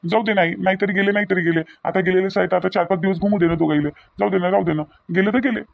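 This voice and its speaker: A man's voice: sits high, steady tone, quick pace, vocal fry, no echo, narrow, tinny audio. A man playing an easygoing grown son in a family drama, pleading repetitively in a Varhadi Marathi accent. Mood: placating. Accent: Varhadi Marathi of Vidarbha. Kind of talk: amateur family comedy-drama dialogue.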